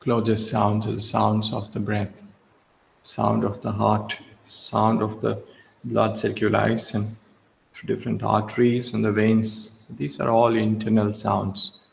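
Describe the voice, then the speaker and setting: A man leading a guided relaxation as a yoga instructor, speaking in short, measured instructions with long pauses, recorded in a reverberant room. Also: fairly low pitch, monotone, gravelly. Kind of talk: slow guided meditation. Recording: echoey reverberant room, faint background hiss.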